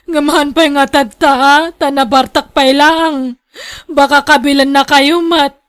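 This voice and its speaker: A woman acts out a young married woman in a radio drama, long-suffering and distressed. Her voice is high, and her delivery stays plaintive and emotional. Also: fairly even intonation, a little slow, fairly bright tone. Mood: embarrassed, worried.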